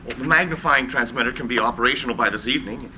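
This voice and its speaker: A man's voice: mid-range voice, fast, background noise, natural voice. An actor playing an eager, loyal male technical assistant in a live screenplay reading. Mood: eager, persuasive.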